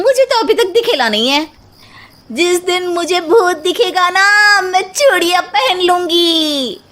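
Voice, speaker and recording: A woman's voice: very high voice, fairly bright tone, exaggerated voice. A woman voicing a bold, street-smart housemaid in a comic story, cocky and slangy in Mumbai street Hindi. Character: brash, bold, money-minded female housemaid, street-smart ghost chaser.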